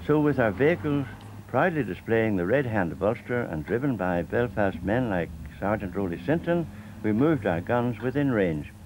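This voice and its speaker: An older man with a Northern Irish accent, reading a scripted documentary narration in a measured, steady way. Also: lowish voice, slight background noise, poor audio. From Northern Ireland.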